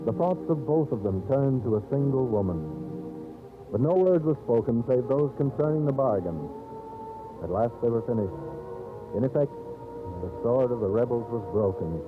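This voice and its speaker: A man narrating a scripted 1930s radio drama in a solemn, theatrical, measured storyteller's manner. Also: mid-range voice. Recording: old scratchy 1930s radio, narrow phone-like band. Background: dramatic background music.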